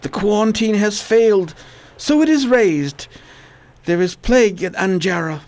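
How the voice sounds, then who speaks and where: A weak, weary man rises from a plague sickbed, his voice worn and pained in a radio play. He speaks slowly and tiredly. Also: high voice, animated, darkish tone. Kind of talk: radio drama acting.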